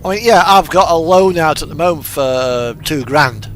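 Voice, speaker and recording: Higher-pitched British man, rambling casually. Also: animated, moderate pace, slight background noise. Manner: rambling, musing, unscripted. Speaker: streamer playing a truck driving simulator. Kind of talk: casual chat while gaming. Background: radio music and truck game engine sound.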